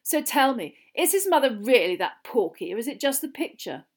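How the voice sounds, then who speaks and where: A woman's voice: high voice, sing-song, moderate pace, pitched above the performer's own voice. Storybook role, female narrator: teenage male bully, snobbish, mocking, spiteful, sneering delivery. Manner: sneering, taunting.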